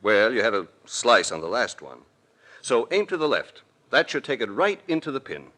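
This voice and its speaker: An actor playing a composed, dryly witty adventurer-detective, narrating and talking in 1940s radio drama style. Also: male voice, medium pitch, big pitch swings, moderate pace, sharp tone. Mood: teasing. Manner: dry, composed, articulate. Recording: vintage radio recording with faint noise.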